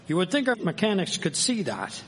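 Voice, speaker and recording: A man acts out an earnest Victorian newspaper editor for a historical radio play. His voice sits on the high side, and his wording is formal and declamatory, full of civic pride. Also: huge pitch swings, a little fast, a bit bright, slight background noise, exaggerated voice. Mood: opinionated. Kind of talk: amateur historical radio drama.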